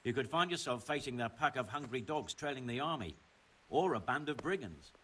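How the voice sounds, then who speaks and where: An actor playing a stern, middle-aged medieval mining chief in a video game, brusque and commanding, with formal wording. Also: male voice, medium pitch, lively intonation, fairly quick, a bit bright, a little hiss or hum, lightly acted. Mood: businesslike, cautioning. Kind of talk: scripted video game dialogue. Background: music.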